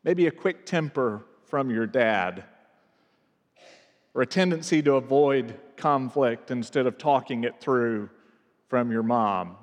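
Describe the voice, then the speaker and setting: A man's voice: medium pitch, takes it slowly, big-room sound. A man from the American South preaching a reflective sermon as pastor, earnest and building in emphasis.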